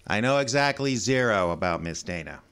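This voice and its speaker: An actor playing a mouthy, evasive young elevator operator with slangy speech in a radio drama. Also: male voice, lowish voice, lively intonation, moderate pace, a bit bright, clean tone. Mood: defensive, cheeky. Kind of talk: old-time radio drama acting.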